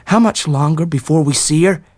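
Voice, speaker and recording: High-pitched little country boy, done by a male reader, earnest and curious, dry close sound. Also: normal speed, darkish tone, no room sound, close to an everyday voice. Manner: plain rustic country speech.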